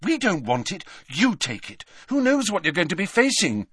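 A worried teenage witch girl played by a man reading a storybook, lifted well up in pitch. The voice is earnest, protesting and insistent, with lively swoops. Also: normal speed, slightly rough, dry recording, studio quality, full character voice. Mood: alarmed, pleading. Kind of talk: dramatised audiobook reading.

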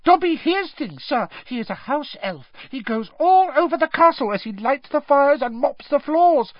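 A narrator voicing a small male house-elf servant, squeaky, earnest and deferential, in an audiobook style. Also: big pitch swings, normal speed, no echo, lo-fi sound. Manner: speaks of himself in third person, deferential, broken grammar. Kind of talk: audiobook character voice.

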